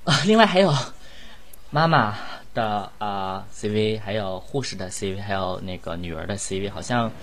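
A man with a lowish voice hosts a relaxed, informal online Q&A. He talks in a playful, teasing and rambling manner, like a lead actor chatting with fans. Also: normal speed, a little hiss or hum. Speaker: host and lead voice actor of an audio drama. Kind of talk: casual live Q&A hosting.

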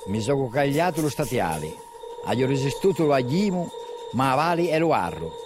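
A man's voice: medium pitch, big pitch swings, normal speed, noisy background, lightly acted. A man playing a remorseful wandering father with a Sardinian accent, pleading and declaiming in dialect in an audio drama. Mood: declamatory. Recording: music behind the voice.

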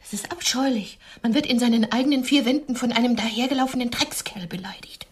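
A woman's voice: on the high side, fast talker, slightly rough. An actress playing a wounded, indignant woman who longs for romance, dramatic and reproachful in a radio play.

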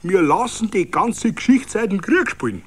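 A man's voice: high voice, big pitch swings, moderate pace, breathy edge, pitched above the performer's own voice, full character voice. A man voicing a jolly, teasing village tradesman with a boisterous manner in a radio story. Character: jolly Bavarian village tradesmen bantering over carnival plans.

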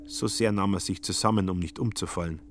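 An actor as the wry narrator of a satirical radio drama, telling the story and acting out the quoted lines. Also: male voice, deep voice, steady tone, a little fast, close to an everyday voice. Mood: dramatic, wry.

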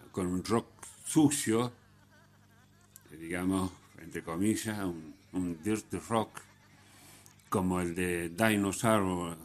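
A man with a low-pitched voice and an Argentine accent presents music on the radio. He comments casually, rambling along and circling back over his words. His delivery is halting, often checking in with short tag questions. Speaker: radio host.

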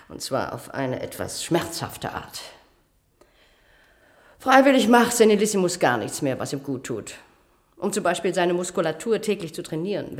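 Strict matron, low voice, cold, condescending, brisk orders, radio drama. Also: female voice, a little mellow. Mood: coldly stern. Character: strict, cold institutional matron with a callous streak. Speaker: head matron instructing a new attendant. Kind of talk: German radio play acting.

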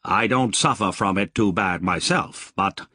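A man's voice: deep voice, unhurried, bright, forceful tone, slightly rough, dry recording, studio quality, exaggerated voice. A man voicing a friendly, queasy male rest-stop worker with a Boston accent, chatty and joking.

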